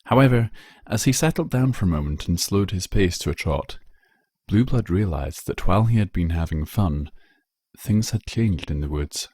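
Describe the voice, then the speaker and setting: Male story narrator: low-pitched, measured and dramatic, recorded close and dry. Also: animated, a little mellow, husky, dry recording. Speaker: narrator, fan fiction reader.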